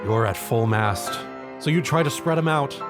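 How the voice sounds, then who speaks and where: A man's voice: mid-range voice, expressive, moderate pace, music in the background. A man narrating a comedic story in the second person, as a dramatic reader.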